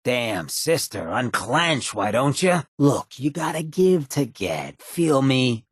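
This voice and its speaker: An actor voicing a cocky, roguish, streetwise ghoul companion in a video game, with a very raspy, gravelly voice and a sly, laid-back swagger. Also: male voice, medium pitch, sing-song, slow, dry recording, studio quality, exaggerated voice. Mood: cocky, coaxing.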